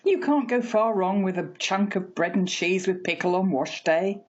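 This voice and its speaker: A woman playing a fussy, chatty working-class mother in a radio play, homely and expressive. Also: mid-range voice, moderate pace, muffled recording, lightly acted. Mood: homely, wistful.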